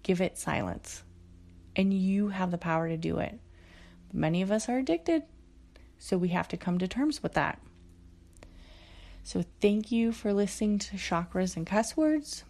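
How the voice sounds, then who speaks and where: A woman's voice: medium pitch, expressive, measured pace, long pauses, a little mellow. A woman hosting her own podcast alone, chatting casually and swearing freely, with lots of fillers and restarts. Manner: profane, frequent fillers and restarts. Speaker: podcast host. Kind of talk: casual solo podcast monologue.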